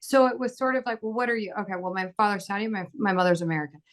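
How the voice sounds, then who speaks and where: A woman in her thirties who grew up in Bahrain, speaking as an actor on a panel in an animated, casual way, laughing often and acting out quoted dialogue. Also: mid-range voice, racing.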